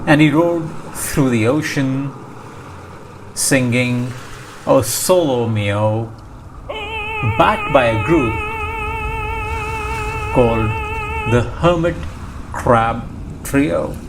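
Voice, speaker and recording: A man reading a children's storybook aloud as scripted narration, measured and pausing between short phrases. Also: mid-range voice, music in the background, low-quality recording. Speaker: storybook reader. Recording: clear background noise, ambience. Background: ocean ambience sound effects.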